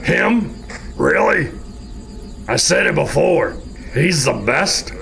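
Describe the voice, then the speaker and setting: An actor playing a big, brash, blunt soldier in an audio drama. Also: male voice, fairly high pitch, big pitch swings, slow, creaky, a bit bright, heavily acted. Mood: scornful.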